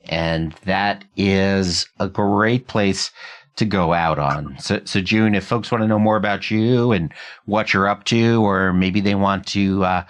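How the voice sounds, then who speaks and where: A man's voice: low-pitched, measured pace. A man hosting a podcast in a conversational interviewing and commentary style. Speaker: podcast host.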